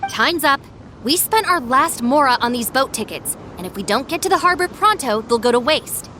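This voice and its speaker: A woman's voice: high-pitched, animated, normal speed, pushed and bright, slight background noise. A woman playing a sensible, wry young second-in-command of a gang in a video game, matter-of-fact and polite. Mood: impatient. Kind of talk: animated video game voice acting.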